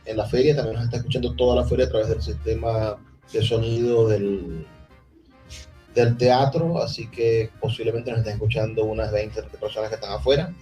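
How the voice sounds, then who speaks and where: A man hosting a book presentation, introducing it in a halting, informal way. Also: fairly low pitch, steady tone, warm tone, faint music behind, low-quality recording. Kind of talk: live event introduction.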